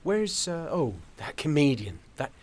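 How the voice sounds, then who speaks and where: An actor playing an opinionated, forgetful older husband who rambles emphatically in an audio drama. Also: male voice, a little high, big pitch swings, measured pace, darkish tone. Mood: flustered, effusive. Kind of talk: theatrical dialogue.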